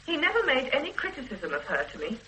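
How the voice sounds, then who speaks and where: A woman's voice: sits high, huge pitch swings, fast, bright, forceful tone, reverberant, recorded from a distance, slight background noise, exaggerated voice, low-quality recording. An actress playing a loyal female secretary in a radio drama, guarded and prim, defending her employer under questioning. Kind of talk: 1970s-80s radio drama acting.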